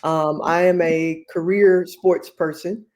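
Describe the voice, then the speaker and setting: A woman answering interview questions in an earnest, measured way. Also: on the low side, steady tone, a little mellow. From Texas. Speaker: guest, athletic director.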